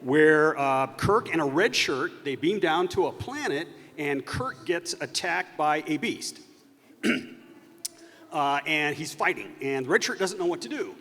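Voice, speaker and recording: A man telling a story casually on a convention stage, in a halting, rambling way with many false starts, recorded in an echoey hall. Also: on the high side, expressive, a bit bright, a little hiss or hum. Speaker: panelist recounting unproduced TV episodes.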